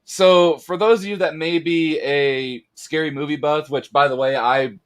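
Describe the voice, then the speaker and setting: A man hosting a casual live talk show, chatty and rambling and joking at himself, his voice a bit raspy today. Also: fairly high pitch, steady tone, a little slow. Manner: chatty, rambling, self-deprecating. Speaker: podcast host. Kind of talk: casual chat.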